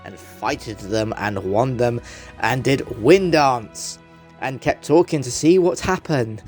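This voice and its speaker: A man's voice: mid-range voice, takes it slowly, a little mellow. A man narrating a story as a theatrical, mock-earnest dramatic reading. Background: background music.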